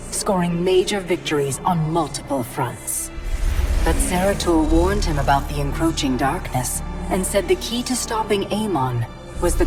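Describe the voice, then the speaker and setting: A woman acting as a solemn, measured chronicler, narrating a galactic war saga for a game cinematic. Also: low voice, close to an everyday voice. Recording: prominent orchestral music behind. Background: music.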